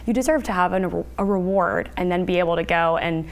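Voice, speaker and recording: A woman's voice: lowish voice, a little fast. A woman speaking as a therapist guest, giving explanatory answers in a news interview. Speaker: therapist guest, licensed counselor.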